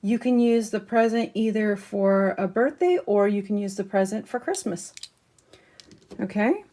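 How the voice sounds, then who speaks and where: A woman talking casually through a craft haul, losing her voice a little and clearing her throat, with frequent ums as she shows each item. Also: mid-range voice, a little slow, dark tone. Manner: chatty, many ums, showing items up close. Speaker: crafting channel host. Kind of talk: casual haul chat to camera.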